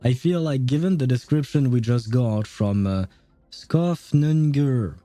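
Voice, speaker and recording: Mellow man streaming, music behind. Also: mid-range voice, measured pace, dry recording. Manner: rambling, thinking aloud. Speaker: livestream host. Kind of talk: casual game stream commentary.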